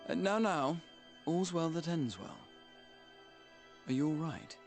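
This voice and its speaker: An actor playing an easygoing, placating adventurer in a game. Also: male voice, on the high side, takes it slowly, faint music behind.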